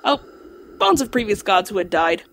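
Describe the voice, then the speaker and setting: A woman voicing a learned talking dog in an audio drama, lecturing precisely and calmly on lore. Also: medium pitch, moderate pace. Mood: lecturing, composed. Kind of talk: audio drama acting, explanatory storytelling.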